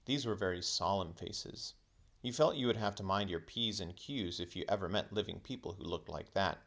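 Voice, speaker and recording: A man reading a children's book aloud as a teacher would, in expressive storybook narration. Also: sits low, moderate pace.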